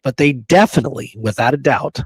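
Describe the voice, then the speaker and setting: A man's voice: medium pitch, lively intonation, brisk, husky, dry recording. Guy cohosting a history chat, laid-back slangy talk, really dry sound. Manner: informal, conversational slang. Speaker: podcast co-host. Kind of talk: casual history discussion.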